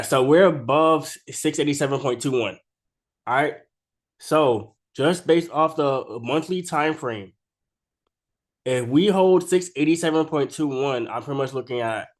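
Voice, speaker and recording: A man who is sick with a cold gives casual, unscripted chart commentary as a trading video creator, talking informally with slang and frequent check-in tags. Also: on the high side. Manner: informal and slangy, keeps tagging statements with "right?".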